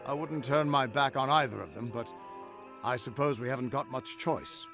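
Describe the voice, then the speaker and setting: An actor playing a wary, wry warrior companion in a video game. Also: male voice, mid-range voice, moderate pace, music in the background, lightly acted. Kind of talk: video game character acting.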